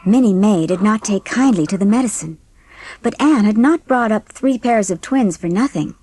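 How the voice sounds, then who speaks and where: A woman narrator reading a scripted storybook in a measured, expressive way. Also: mid-range voice, slightly rough, dry recording.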